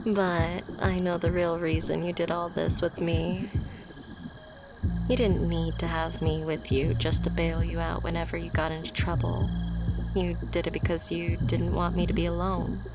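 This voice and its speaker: A woman playing a shy, socially awkward young friend in a romantic ASMR roleplay, speaking softly and hesitantly with stammers, tender and easily flustered. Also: lowish voice, little pitch movement, normal speed, lightly acted. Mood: nervous, tender confession. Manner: soft, hesitant, stammering, sighing. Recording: prominent music behind the voice. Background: music.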